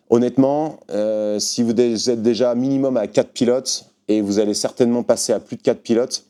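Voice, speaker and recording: A man's voice: fairly low pitch, mellow. A man casually explaining a product to viewers in an informal, hands-on way, as a shop presenter.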